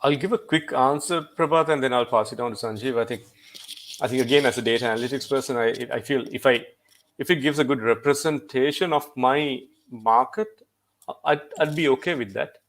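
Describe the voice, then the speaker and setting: Measured man answering on a panel. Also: medium pitch, fairly bright tone. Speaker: guest speaker. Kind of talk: panel discussion.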